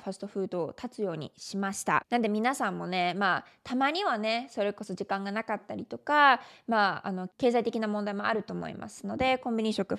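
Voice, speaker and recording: A woman from Japan with a Japanese accent, a native Japanese speaker, hosting a casual solo podcast in a conversational, explanatory way. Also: medium pitch. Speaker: podcast host.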